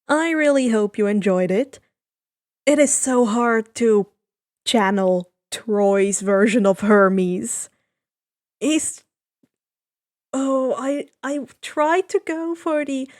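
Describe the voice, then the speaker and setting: A woman reading fan fiction aloud as host and story narrator. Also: high-pitched.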